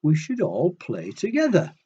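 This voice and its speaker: A man's voice: on the high side, huge pitch swings, unhurried, dark tone, husky, dull audio, pitched above the performer's own voice, full character voice. A man voicing a friendly, eager young male sheep in a children's storybook, cheerful and earnest. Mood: earnest, excited.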